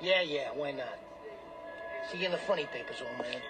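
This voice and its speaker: An actor playing a brash, cocky small-time hustler and gambler in a radio drama. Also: male voice, mid-range voice, moderate pace, loud music behind the voice, lightly acted. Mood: irritated, cocky. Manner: wheedling, cocky, streetwise wise-guy talk.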